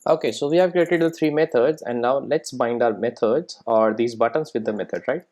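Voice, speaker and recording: A software tutorial presenter explaining things step by step in an informal way, often checking in with the listener. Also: medium pitch, a little fast.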